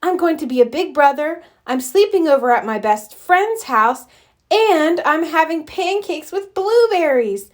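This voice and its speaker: Woman voicing an eager, high-pitched little boy bear. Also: big pitch swings, measured pace. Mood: excited. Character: eager, excitable young boy bear, proud then upset.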